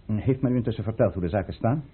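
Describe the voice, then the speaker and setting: An actor playing a scheming count in a radio drama, formal, courtly and outwardly deferential. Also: male voice, fairly low pitch, fast, breathy edge. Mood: courtly, calculating. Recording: muffled, phone-like old radio play recording.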